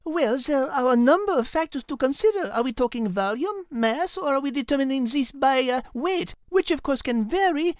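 A woman voicing a rational, fussy female animal in an audiobook story, pedantic, measured and precise. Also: high-pitched, lively intonation, dry recording, clean studio sound. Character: rational, fussy French-speckled female animal, overthinking know-it-all. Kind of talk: animal story character acting.

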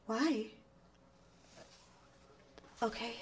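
Timid, high-voiced young employee. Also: female voice, a little hiss or hum. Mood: shy, pleased. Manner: hesitant, meek, halting. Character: timid, eager-to-please young female employee smitten with her boss. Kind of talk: live radio drama acting.

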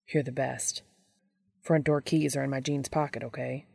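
A woman's voice: very deep voice, steady tone, moderate pace, gravelly, darkish tone, slightly rough, no echo, clean studio sound, heavily acted. A woman reading a story and voicing a playful, affectionate vampire man who talks in a coaxing, informal way.

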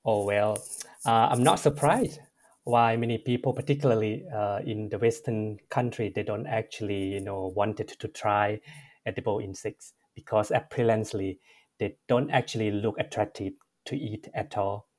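A man from Thailand with a Thai accent, answering interview questions as a researcher, pausing often with fillers and correcting himself. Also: fairly low pitch, fairly even intonation, a little mellow. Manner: measured, frequent "uh" fillers and self-corrections. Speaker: researcher guest.

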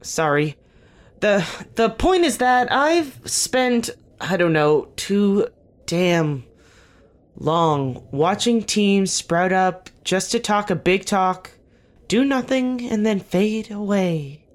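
An actor playing a weary, guarded veteran team leader in an audio drama, speaking earnestly and haltingly with dry wit. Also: mid-range voice, lively intonation. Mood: frustrated, weary. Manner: earnest, halting, self-correcting, dryly wry. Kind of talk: naturalistic audio drama dialogue.

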